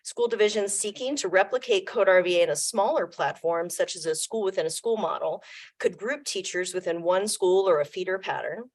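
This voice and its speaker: A woman reading prepared remarks in a measured formal presentation to a committee, in a meeting room. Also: lowish voice. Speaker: program presenter.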